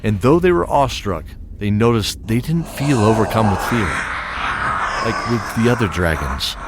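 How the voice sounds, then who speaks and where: Low-voiced man narrating fantasy drama. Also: expressive, noisy background. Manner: measured, descriptive storytelling. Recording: music bed under voice.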